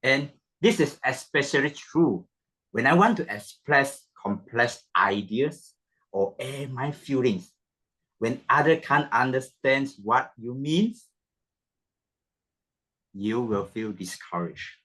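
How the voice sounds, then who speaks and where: A man, a non-native English speaker, giving a slow, halting panel talk at a club meeting and sometimes repeating himself. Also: medium pitch, animated, pushed and bright. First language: not English.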